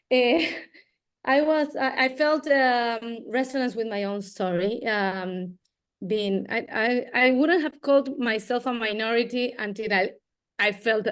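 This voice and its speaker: A woman from Argentina with an Argentine Spanish accent and Spanish as her first language, giving an earnest comment as a doctoral student, searching for words. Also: on the high side. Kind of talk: audience comment.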